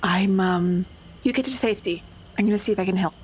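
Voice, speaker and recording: A woman's voice: medium pitch, fast. A woman playing a tabletop role-playing game, describing and speaking for her character in a casual way. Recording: faint background noise.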